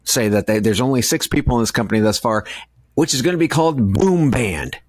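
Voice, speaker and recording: A man's voice: mid-range voice, expressive, fairly quick, no echo. A man co-hosting a podcast in casual banter, with an irreverent, jokey way of talking. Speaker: podcast co-host.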